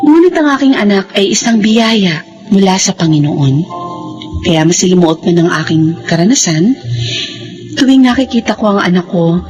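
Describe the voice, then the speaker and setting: A woman's voice: lowish voice, darkish tone, natural voice. An actress playing a heartbroken but grateful young single mother in a Filipino radio drama, with a Filipino accent. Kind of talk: Filipino radio drama acting with first-person narration.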